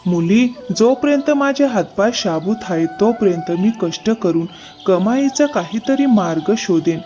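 A man's voice: high-pitched, lively intonation, unhurried, a little mellow. A man playing a poor, earnest, troubled horse-cart driver and father in a cartoon moral story. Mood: troubled, resolute. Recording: music behind the voice.

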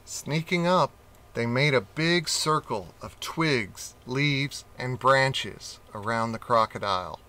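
A man reading a children's picture book aloud, slowly and expressively. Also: mid-range voice. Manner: slow, expressive reading with character voices. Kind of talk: storybook read-aloud.

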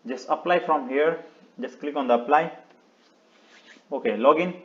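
A man with a slightly high voice narrates a screen tutorial step by step. He speaks in short, halting phrases and often repeats himself. The recording is dull and lo-fi. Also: fairly bright tone. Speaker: video tutorial presenter. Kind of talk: step-by-step website walkthrough, unscripted screen narration.

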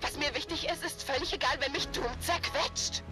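A woman's voice: high voice, normal speed, lightly acted. A woman voicing a resolute but nervous young heroine in a German-dubbed video game, speaking urgently and earnestly. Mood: panicked. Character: young heroine, resolute leader fighting her nerves. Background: game music.

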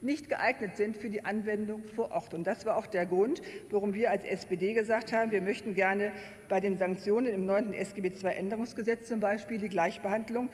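A woman from the Rhineland giving a measured, argumentative speech at the lectern in a parliamentary debate. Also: mid-range voice, a little mellow. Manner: measured, argumentative, occasional self-deprecating asides. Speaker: member of a state parliament. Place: large plenary hall. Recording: echoing plenary hall, faint noise.

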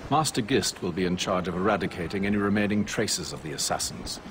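An actor playing a commanding older male leader who gives orders deliberately. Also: low voice, lively intonation, a bit bright, a little hiss or hum. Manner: authoritative, deliberate.

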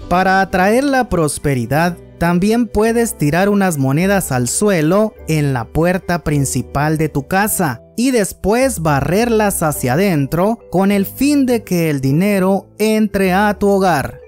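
A woman's slow, scripted voice-over, the kind heard over faint music in an online countdown video. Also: fairly low pitch, lively intonation, a little mellow. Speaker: video narrator. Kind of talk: scripted narration of a list video.

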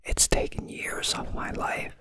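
A reader voicing a polite, anxious female ladybird from a storybook in a breathy whisper. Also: a little slow, pushed and bright.

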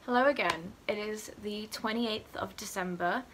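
A woman's voice: medium pitch, normal speed, heavy background noise. A young adult woman chatting casually to camera as a student vlogger in a living room. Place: furnished living room indoors.

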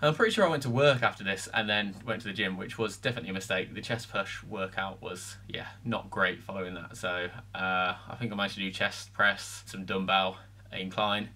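A man's voice: sits low, steady tone, a little fast, few pauses, sharp tone. A man, chatting casually and matter-of-factly about his week in a training vlog. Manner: chatty, matter-of-fact, filler-heavy. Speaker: vlogger. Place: home room, voice-over.

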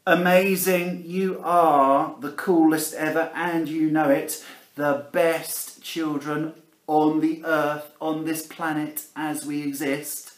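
A man's voice: fairly high pitch, little pitch movement, slow. A man in his forties reading a picture book aloud to children in a theatrical, playful way, speaking to them directly in a living room. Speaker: primary school teacher reading aloud. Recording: roomy home camera recording.

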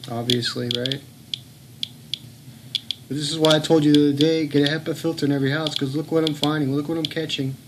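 A man's voice: a little high, fairly even intonation, fairly quick, long pauses, noisy background. A man filming at home, talking in a blunt, earnest way and swearing now and then. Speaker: home video blogger. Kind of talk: casual filmed commentary. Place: home room.

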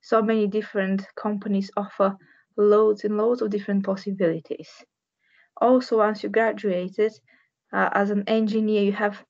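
Even-toned young Bulgarian woman, dull recording. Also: medium pitch. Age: 20s. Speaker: civil structural engineer, careers ambassador. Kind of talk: informal careers talk to camera. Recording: dull, muffled webcam audio.

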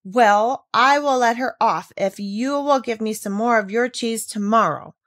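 A woman's voice: on the high side, unhurried, a bit bright, no room sound, clean studio sound. A woman reading the lines of a stubborn young goatherd boy in a blunt, curt manner. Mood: grudging. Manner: blunt, curt and matter-of-fact.

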